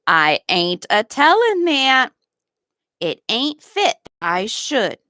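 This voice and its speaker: A woman voicing a kindly, loyal old male gardener in a storybook, speaking in a gentle, deliberate rustic dialect. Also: a little high, sing-song, no room sound, studio quality, voice pushed up, exaggerated voice. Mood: earnest, loyal. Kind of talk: storybook read-aloud.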